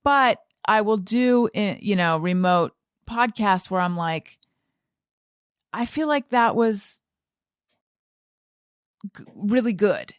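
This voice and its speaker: A woman's voice: medium pitch, expressive, takes it slowly, pauses often, clean tone, no echo, studio quality. An American woman in her forties chatting casually as a podcast host, often stopping to correct herself and think aloud. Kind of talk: casual conversational interview chat.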